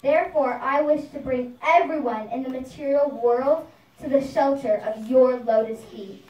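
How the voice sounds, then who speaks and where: In a roomy, echoing space, a high, earnest voice speaks as a saintly child played by a woman in a puppet show. The child is reverent and measured, praying with devotion and compassion. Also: darkish tone, natural voice. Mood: pleading, devoted. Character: devout, joyful, compassionate saintly child praying to his Lord. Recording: echoey room, distant microphone.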